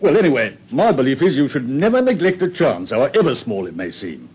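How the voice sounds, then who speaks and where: An actor playing a boastful, self-satisfied Victorian police inspector with a British accent, husky and excitable, in a radio drama. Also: male voice, medium pitch, fairly quick. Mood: self-important recounting. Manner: pompous, excitable. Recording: muffled radio recording.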